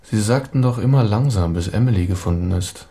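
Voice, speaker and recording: A man's voice: fairly low pitch, fairly quick, warm tone, lightly acted. An actor playing a wry, laid-back male companion of a detective in a crime radio drama.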